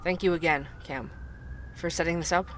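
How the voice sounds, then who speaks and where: An actor playing a male podcast host who investigates cold cases, speaking in a calm, measured, explanatory way. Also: on the high side, slight background noise, natural voice.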